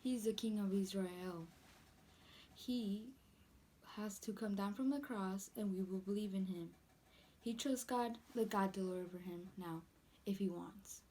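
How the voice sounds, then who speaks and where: A woman's voice: mid-range voice, a little slow, mellow, natural voice. A woman playing a mocking, scheming chief priest in a dramatic scripture reading, delivered as plain declaration. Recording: faint background noise.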